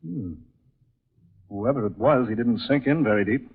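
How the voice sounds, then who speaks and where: A man's voice: mid-range voice, normal speed, husky. An actor playing a clipped, commanding leading man in an old-time radio drama. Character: brisk, skeptical leading man, commanding yet tender. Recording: muffled, tinny vintage radio recording.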